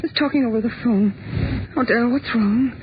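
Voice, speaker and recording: An actress playing a gentle, loving sweetheart, pleading tenderly in 1940s radio style. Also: female voice, fairly high pitch, brisk, noisy background, lightly acted. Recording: dull 1940s radio recording, loud music.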